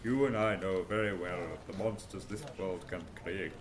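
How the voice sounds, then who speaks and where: A man's voice: low voice, moderate pace, a little hiss or hum, close to an everyday voice. A man playing an arrogant, coolly analytical male detective in an amateur audio drama, speaking in a superior, matter-of-fact way.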